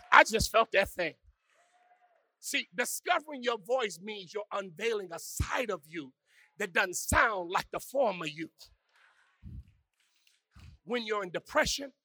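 A pastor preaching a sermon with call-and-response, swinging from hushed musing to shouted, sung climaxes. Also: male voice, sits high, husky, no echo. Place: church.

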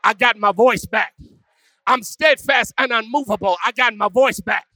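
A pastor preaching a sermon with call-and-response, swinging from hushed musing to shouted, sung climaxes. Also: male voice, very high voice, moderate pace, dry recording. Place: church.

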